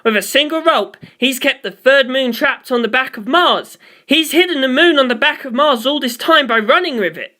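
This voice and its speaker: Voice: sits high, expressive, normal speed, few pauses, sharp tone, pitched above the performer's own voice. A reader voicing a tense male mission control officer in a space story, urgent and formal. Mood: alarmed.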